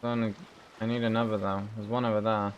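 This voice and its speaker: Low-voiced man doing casual game commentary. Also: flat delivery, fast, dull audio, a little hiss or hum. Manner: swears casually. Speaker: game streamer.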